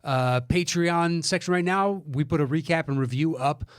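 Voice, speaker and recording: A man's voice: on the high side, moderate pace, clear, resonant tone, no room sound, clean studio sound. A man co-hosting a podcast, chatting casually in a rambling, sweary way. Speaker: podcast co-host.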